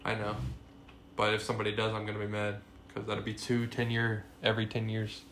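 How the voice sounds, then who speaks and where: A man in his twenties co-hosting a podcast, chatting casually and getting excited, often saying "bro". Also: on the low side, a little fast, a little hiss or hum. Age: 20s. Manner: excitable, says "bro" a lot. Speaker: podcast co-host. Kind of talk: casual chat.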